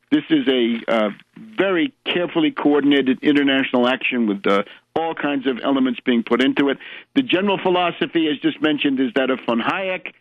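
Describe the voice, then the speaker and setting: An American man hosting a radio show, delivering a polemical political monologue with emphatic, erudite, deliberate phrasing. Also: mid-range voice, dry recording. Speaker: radio host and political commentator. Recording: narrow tinny phone-like radio audio.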